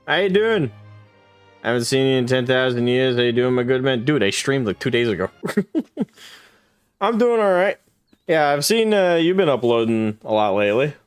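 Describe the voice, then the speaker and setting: A man's voice: mid-range voice, big pitch swings, normal speed, pauses often, smooth, no room sound, faint music behind. Rambling young male game streamer. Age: 20s. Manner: rambling, self-deprecating, laughs often. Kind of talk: casual live-stream chat, reading chat.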